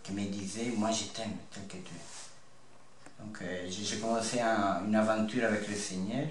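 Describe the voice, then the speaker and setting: A man of twenty-nine from north-east Italy with an Italian accent, giving an earnest personal testimony in halting phrases. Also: fairly low pitch, steady tone. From north-east Italy (Friuli). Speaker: interview guest, seminarian about to be ordained priest. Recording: echoey room with faint background noise.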